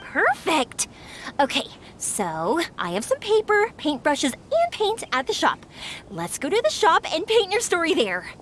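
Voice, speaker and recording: A woman's voice: very high voice, huge pitch swings, measured pace, a bit bright, slight background noise, heavily acted. A woman voicing an upbeat, encouraging young shopkeeper with a big-sister way of talking. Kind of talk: game voice acting.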